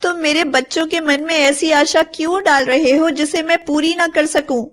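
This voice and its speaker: A woman voicing a lonely, caring and anxious village mother, plaintive and expressive, in an animated moral story. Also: high voice, normal speed. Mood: worried.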